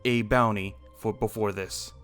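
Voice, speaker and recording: A man playing an earnest, wary dragon slayer in an amateur game roleplay, with halting delivery. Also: lowish voice, fairly even intonation, fairly bright tone, soft background music.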